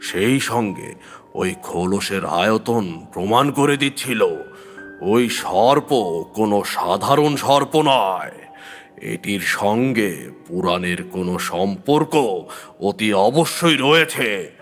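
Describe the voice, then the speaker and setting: A man playing a calm tantric occultist, narrating a horror story in a grave, measured, dramatic way. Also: on the low side, soft background music. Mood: grave, reflective.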